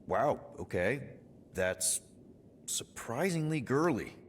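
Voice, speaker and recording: An actor playing an easygoing, awkwardly earnest young space crew leader in a cinematic video game, talking casually and haltingly. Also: male voice, mid-range voice, sing-song, in a large hall, a little hiss or hum. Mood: teasing, surprised.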